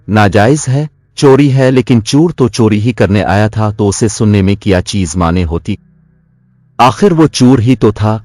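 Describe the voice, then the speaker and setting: A man tells a scripted moral tale in a low voice. His delivery is measured and earnest, and the sound is close and dry, with no room echo. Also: a little mellow. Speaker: storyteller. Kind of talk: scripted moral story narration.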